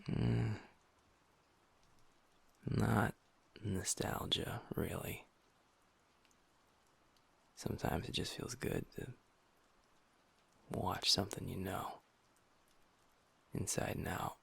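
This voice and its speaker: Soft sad werewolf bf, a guy doing it, super husky half-whispered voice, gravelly, audio rp. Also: low-pitched, fairly even intonation, drawn-out words, long pauses, heavily acted. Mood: subdued. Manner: soft, hushed, intimate talk to a partner. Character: gentle young male werewolf boyfriend, pack beta, guilt-ridden. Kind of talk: audio roleplay.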